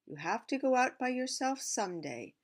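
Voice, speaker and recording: A woman voicing a gentle, cautious mother pot in a storybook. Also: fairly high pitch, big pitch swings, moderate pace, pitched above the performer's own voice. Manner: soft, cautious.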